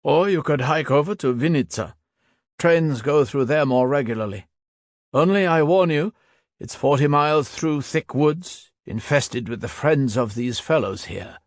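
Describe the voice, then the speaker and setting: A man reading an audiobook voices a weary fifty-year-old soldier who talks in a casual, mocking, unhurried way. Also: a little high, animated, no room sound, studio quality, pitched above the performer's own voice.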